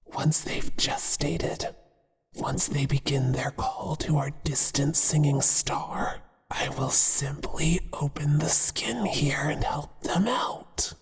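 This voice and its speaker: A whispering, very breathy male spider monster acted out by a performer for horror audio roleplay. He is possessive and obsessive, with a menacing tenderness, drawing out his words very slowly in long reverb. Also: mid-range voice, full character voice. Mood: smug, affectionate menace. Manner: slow, deliberate, menacingly affectionate.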